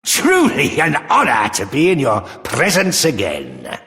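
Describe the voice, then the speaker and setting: A man's voice: mid-range voice, wildly swooping pitch, takes it slowly, pushed and bright, full character voice. A man voicing a proud, vengeful villain in a video game, theatrical and menacing beneath mock courtesy. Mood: bitter menace. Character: proud, vengeful male villain returning for a rematch. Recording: long reverberant hall.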